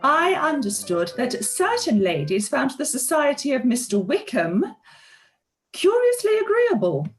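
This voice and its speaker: Woman playing a high-pitched, sneering society lady. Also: very animated, unhurried, soft background music. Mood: falsely sweet, needling. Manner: affected, drawling, disdainful. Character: haughty, spiteful young society lady, sneering and catty. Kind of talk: period radio play live read.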